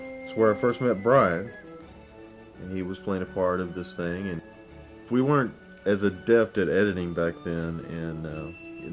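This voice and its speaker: Deep-voiced man reminiscing. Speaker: interviewee. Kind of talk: casual documentary interview.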